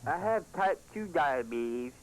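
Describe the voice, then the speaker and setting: A man's voice: on the high side, animated, slow pace, a little hiss or hum, rough recording. A man calling in to a show, asking questions in a plain, persistent, earnest-sounding way. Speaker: phone-in caller.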